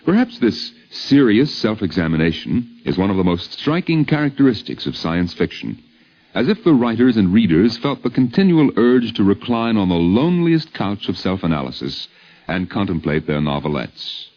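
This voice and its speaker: A man's voice: lowish voice, big pitch swings, normal speed. A man narrating a scripted 1950s radio documentary in a polished, formal style with a wry literary turn. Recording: dull lo-fi 1950s radio recording.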